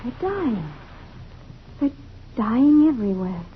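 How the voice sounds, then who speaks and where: Emotional, frightened young-love heroine, extremely animated, old radio drama, dull noisy recording. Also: female voice, a little high, slow. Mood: alarmed awe. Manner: emotional, urgent. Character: frightened, devoted sweetheart, breathlessly emotional. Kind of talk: vintage radio drama acting.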